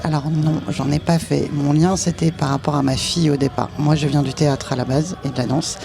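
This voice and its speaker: A person from a circus school explaining its activities in an interview. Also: female voice, deep voice, fairly even intonation, brisk, creaky. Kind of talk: interview answers. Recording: music in background.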